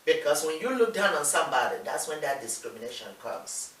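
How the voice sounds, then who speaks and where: A woman's voice: low-pitched, big pitch swings, fairly quick, a bit bright. A woman from Nigeria, co-hosting a talk show and often backing up her partner's points. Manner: affirming, frequent agreement. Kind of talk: casual discussion. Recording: echoey room, mic distant.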